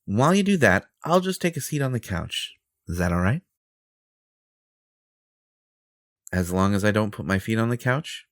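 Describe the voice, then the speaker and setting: Man playing a smug, low-voiced superhero. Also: expressive, fairly quick, no echo, studio quality. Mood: smooth, flirtatious. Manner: self-important, dramatic, flirtatious. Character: smug, possessive male superhero, vain and theatrical. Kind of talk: audio roleplay acting.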